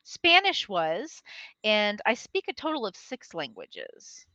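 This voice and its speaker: A woman of forty, an English tutor from the United States, chatting excitedly with a student and laughing often. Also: mid-range voice, slow, no echo, lo-fi sound. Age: 40s. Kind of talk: online lesson conversation. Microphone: video call.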